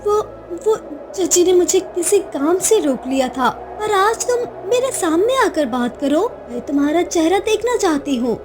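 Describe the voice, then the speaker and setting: A woman's voice: very high voice, expressive, normal speed, few pauses, over music, pitched above the performer's own voice. A woman voicing a timid, curious orphan village girl in a cartoon story, anxious and stammering, often pleading. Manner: stammering, repeats words anxiously.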